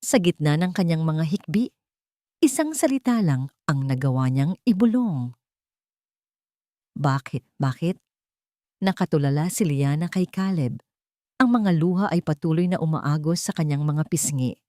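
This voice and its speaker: Woman narrating a love story, lowish voice, dramatic n solemn, dry close sound. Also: animated, normal speed, warm tone, no room sound. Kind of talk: scripted dramatic romance narration.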